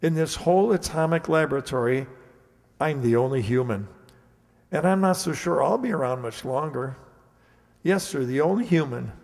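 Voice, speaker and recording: A resigned, lonely security guard in a radio play played by a man. His voice sits a little high with plenty of gravelly creak, and he rambles folksily. The hall adds a long reverb. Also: expressive, moderate pace, a little mellow. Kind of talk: amateur radio play acting.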